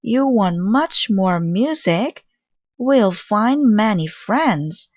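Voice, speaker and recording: A woman's voice: mid-range voice, animated, drawn-out words, darkish tone, dry recording, highs cut off, pitched above the performer's own voice. A woman voicing a cheerful, oblivious cricket in a picture book.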